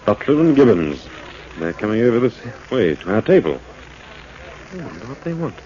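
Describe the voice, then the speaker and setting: An actor playing a composed, shrewd man-about-town sleuth in a 1940s radio drama, with a measured, dry, educated delivery. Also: fairly low pitch, sing-song, mellow. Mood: cool, wary. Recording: muffled, hissy 1940s radio recording.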